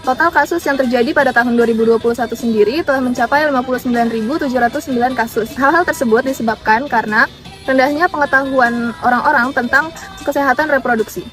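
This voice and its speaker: High fast-reading young woman presenter. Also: a bit bright, faint music behind. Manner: read-aloud, formal. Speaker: student presenter. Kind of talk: scripted narration of a presentation.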